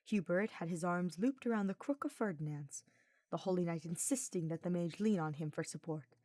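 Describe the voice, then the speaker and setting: Lowish-voiced woman narrating fiction. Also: brisk, dark tone, no room sound, clean studio sound.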